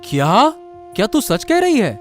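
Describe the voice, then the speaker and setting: A man's voice: extremely high pitch, wildly swooping pitch, a little slow, faint music behind, exaggerated voice. A man playing a righteous, protective village uncle in a Hindi cartoon story. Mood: indignant.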